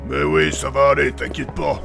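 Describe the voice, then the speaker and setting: An actor playing a stubborn, hot-tempered adventurer, blunt and slangy, in a comic fantasy audio drama. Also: male voice, a bass voice, very animated, normal speed, creaky, music in the background, exaggerated voice. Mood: dismissive, tough. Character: gruff, stubborn, hot-tempered male adventurer, proud and coarse.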